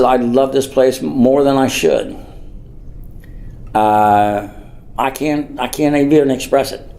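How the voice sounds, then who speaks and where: A man's voice: medium pitch, a little mellow. An older man from the United States giving an informal public speech as a mayor, rambling and earnest, repeating phrases for emphasis. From Norwood, United States.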